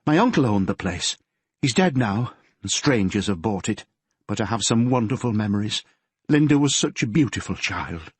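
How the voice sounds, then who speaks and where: A tearful, polite Yorkshire mother in middle age, as a male narrator puts her on, raised well above his usual pitch. Her voice is soft at the edges and a little husky, with a gentle, fretful and homely way of speaking. The reading is dry and close. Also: very animated, moderate pace, no room sound. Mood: sorrowful. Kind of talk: audiobook character voice.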